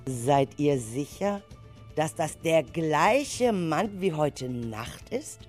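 A woman's voice: low voice, very animated, drawn-out words, a little mellow, soft background music. A woman hosting a children's radio play, talking to the young cast as playmates. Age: adult. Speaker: host and leader of a school radio-play group.

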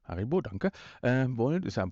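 A man's voice: medium pitch, big pitch swings, fairly quick, a little mellow. A man in his fifties from North Rhine-Westphalia hosting a casual podcast in a rambling, jokey way. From North Rhine-Westphalia, Germany. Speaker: podcast host. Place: room in a family home. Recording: dull, roomy home recording.

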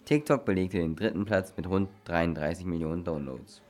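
A man's voice: deep voice, moderate pace, a little mellow. A man presenting on a children's radio programme, reading a scripted factual report.

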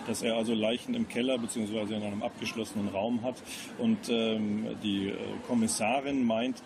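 Ein Mann führt als Radiomoderator ein Literaturinterview und formuliert zögernd, mit suchenden Umwegen. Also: male voice, mid-range voice, noisy background. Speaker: radio host and book interviewer. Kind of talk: literary interview.

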